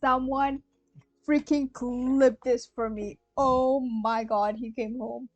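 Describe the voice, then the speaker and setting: A young woman streaming a game, with a high voice and an unhurried pace. She is excitable and giggly, talking casually to her viewers, recorded close and dry over faint music. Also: no echo, muffled recording. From Malaysia. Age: young adult.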